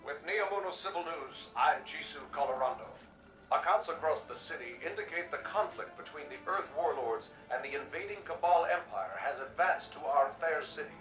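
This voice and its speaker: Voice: medium pitch, a bit bright, distant mic. Echoey futuristic newscaster. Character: urgent, formal futuristic city newscaster. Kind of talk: news bulletin in a video game.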